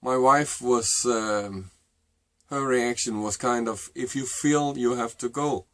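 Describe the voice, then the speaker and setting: A middle-aged man with a Danish accent, a native Danish speaker, telling a personal story to camera in a halting, reflective way. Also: medium pitch, a bit bright, no room sound, studio quality. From Denmark. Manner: halting and reflective, with many fillers and restarts. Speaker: vlogger.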